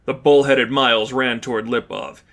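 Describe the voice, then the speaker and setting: A man's voice: medium pitch, steady tone, moderate pace, fairly bright tone. A man reading scripted fiction aloud as an audiobook narrator.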